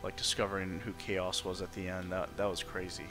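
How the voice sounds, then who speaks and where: A man's voice: low voice, flat delivery, fairly quick. A man giving rambling, informal playthrough commentary as a game streamer, with game music behind him.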